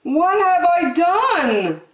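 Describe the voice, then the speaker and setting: A woman voicing a big male bear in a children's storybook, stubborn, naive and woeful, with drawn-out earnest words. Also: very high-pitched, very animated, voice pushed up, heavily acted. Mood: dismayed. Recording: roomy, echoing sound.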